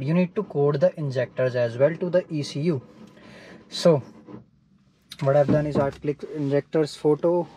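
Man explaining car repair, mellow. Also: fairly high pitch, rough recording. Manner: explains step by step while working. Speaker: DIY car mechanic. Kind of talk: hands-on repair tutorial. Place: inside a parked car.

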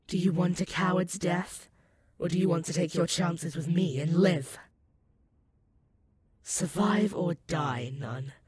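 A woman's voice: fairly low pitch, darkish tone. A woman playing an ancient, sadistic and possessive female werewolf demon in a roleplay audio, purring menace and mocking pet names at the listener. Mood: threatening. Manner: slow, teasing, purring menace with mocking endearments.

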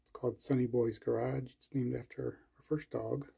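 Man, gravelly and husky, slow relaxed delivery; casual home-video tour voice. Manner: slow, low-key, listing items with pauses and fillers. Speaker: collector giving a tour. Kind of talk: casual walkthrough narration.

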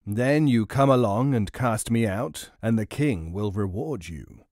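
A man's voice: lowish voice, expressive, a little slow, darkish tone, dry recording. A scheming, hot-tempered genie played by a male narrator speaks in a storybook tale. The delivery is commanding and theatrical, recorded dry. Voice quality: roaring when angered.

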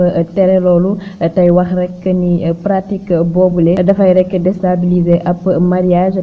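A woman with a Senegalese accent presenting news narration, with a halting delivery and frequent hesitant pauses. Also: lowish voice. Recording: dull lo-fi sound with clear noise.

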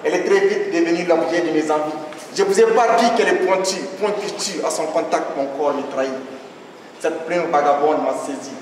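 A young man with a West African French accent recites slam verse in a rhythmic, declamatory flow. His voice sits a little high and reaches the mic from a distance. The hall rings with a long echo. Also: moderate pace. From Niger. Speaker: slam poet. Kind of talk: slam poetry performance. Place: large hall with tiled floor, audience seated. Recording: echoey hall from far off, noisy.

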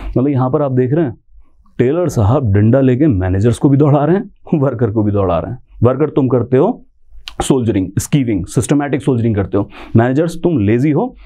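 An Indian-accented man gives an explanatory coaching lecture. His mid-pitched voice is mellow and rises and falls in a very lively way. He teaches conversationally, stressing key terms and posing rhetorical questions. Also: brisk. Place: indoor teaching studio in front of a screen.

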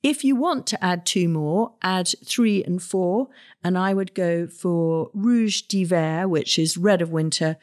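Lady on her gardening podcast, lowish voice, chatty and practical, lots of little asides. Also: slow, darkish tone. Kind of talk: casual solo podcast talk.

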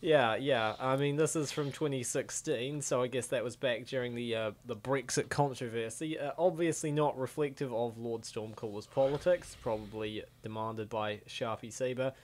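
A man hosting a comic dub, giving casual, excitable, exclamatory reaction commentary. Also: mid-range voice, moderate pace, hardly any pauses. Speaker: comic dub host and narrator.

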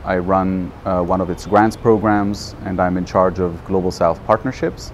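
A man in his thirties with a fairly low voice speaks English as a native Hebrew speaker. He talks to camera in a measured, thoughtful manner. He is outdoors, with noticeable ambient noise around the voice. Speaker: non-profit program manager. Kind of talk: informal talk to camera.

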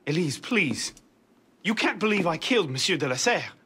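An earnest young male assassin in a video game drama. He is emotional and imploring, with a husky, lively voice that sits slightly high. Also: moderate pace, full character voice. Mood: hurt, pleading.

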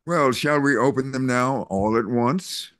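An older man voicing a kind reverend, speaking gently with hesitant fillers over a video call. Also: mid-range voice, unhurried, clear, resonant tone, dry recording, studio quality, close to an everyday voice. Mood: gentle. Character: kindly older male reverend. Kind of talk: Zoom play reading.

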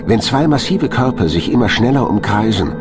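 A man's voice: lowish voice, lively intonation, moderate pace. A man reading scripted narration for a science documentary as a studio voice-over. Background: background music.

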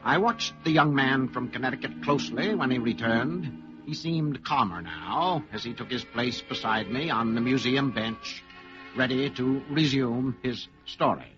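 A man's voice: mid-range voice, a little slow, over music, close to an everyday voice. A man as a courteous, composed narrator and announcer, formal and polished, in old radio style. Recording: muffled old radio broadcast.

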